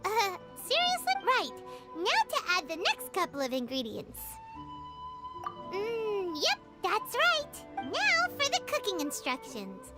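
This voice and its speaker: A woman's voice: very high-pitched, wildly swooping pitch, takes it slowly, exaggerated voice. A woman voicing a tiny, cheerful, childlike fairy companion in an anime game, bubbly and talking about herself in third person. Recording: music playing behind the voice. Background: tinkling game music.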